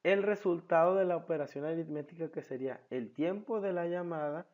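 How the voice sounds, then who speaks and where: Male tutorial instructor, slightly high voice, didactic question-and-answer delivery, muffled lo-fi sound. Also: a little fast. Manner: didactic, poses questions and answers them, checks with "ok". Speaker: programming instructor. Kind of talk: step-by-step screen tutorial narration.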